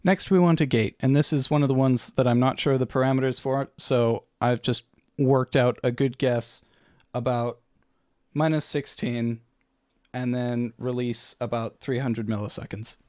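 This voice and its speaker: A man's voice: mid-range voice, fairly even intonation, moderate pace, a little mellow, dry recording, clean studio sound. A man presenting an audio-production tutorial in an informal, matter-of-fact, slightly tentative way.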